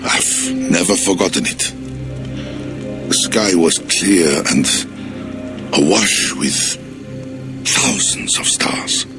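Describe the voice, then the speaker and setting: An actor playing a bitter, jealous Frankish king in a video game cutscene, speaking slowly and turning mocking and accusing. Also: deep voice, music in the background.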